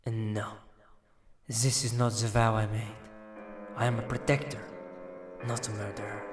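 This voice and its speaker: A tormented noble musketeer played by a man speaks his inner thoughts in an audio drama. The delivery is slow, halting and brooding, with music clearly playing underneath. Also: lowish voice, flat delivery, close to an everyday voice. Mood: tormented resolve. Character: anguished noble musketeer, wrestling with honour.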